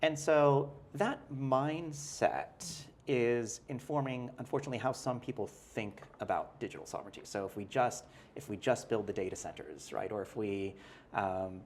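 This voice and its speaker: A man's voice: medium pitch, moderate pace, slight background noise. A male professor, a guest in an interview, explaining ideas in a thoughtful, hedging way with frequent "right?" tags and restarts. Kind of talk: conversational interview.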